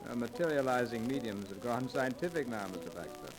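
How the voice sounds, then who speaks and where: An actor playing a learned, inquisitive professor, polite and formal, in a 1930s radio drama. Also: male voice, mid-range voice, very animated, fairly quick, natural voice. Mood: curious, learned. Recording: vintage radio recording with music.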